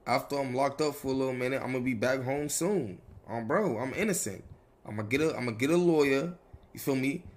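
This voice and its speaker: A man's voice: medium pitch, brisk, a little mellow, natural voice. A man playing a cocky young gangster in an online role-play game, talking in slangy, sweary, joking street talk. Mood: brash, reassuring. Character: cocky young male gangster jailed, reassuring his woman.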